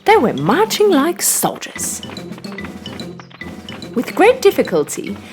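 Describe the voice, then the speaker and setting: High, very animated female storyteller. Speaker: storyteller, narrator. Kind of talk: scripted children's story narration. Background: background music.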